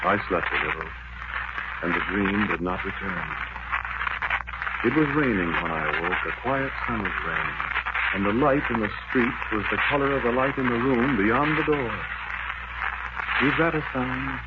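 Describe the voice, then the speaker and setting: Actor as a lovelorn dreamer, noisy old radio. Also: male voice, medium pitch, moderate pace, close to an everyday voice. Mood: yearning wonder. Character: lovelorn romantic man haunted by a dream of his beloved. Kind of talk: old-time radio drama monologue. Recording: noisy, muffled vintage radio recording.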